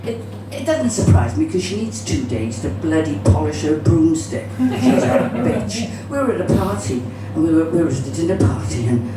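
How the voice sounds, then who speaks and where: A woman's voice: on the low side, big pitch swings, moderate pace, hardly any pauses, loud music behind the voice. A middle-aged woman with a Cardiff Welsh accent performing live stand-up comedy in a chatty, bawdy manner, swearing freely. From Cardiff, Wales. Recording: roomy, distant, noisy live venue recording.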